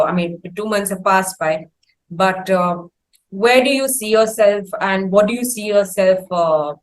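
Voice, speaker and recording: A woman's voice: mid-range voice, a little hiss or hum, rough recording. A woman with an Indian accent hosting an interview in a courteous, wordy and somewhat hesitant conversational way. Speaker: interview host.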